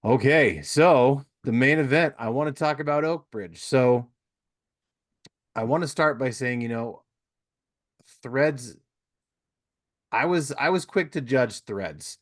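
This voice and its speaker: A man's voice: mid-range voice, slow pace, long pauses. A man hosting a podcast interview, chatting casually and rambling with lots of "you know" fillers. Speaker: podcast host.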